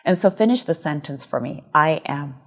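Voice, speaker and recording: Husky lively woman host from Zimbabwe. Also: sits low, moderate pace. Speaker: interview host. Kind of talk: warm interview chat and channel outro.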